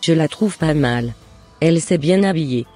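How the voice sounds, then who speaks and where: Mellow, husky older mother played by a woman. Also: sits low, lively intonation, normal speed, faint music behind. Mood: approving.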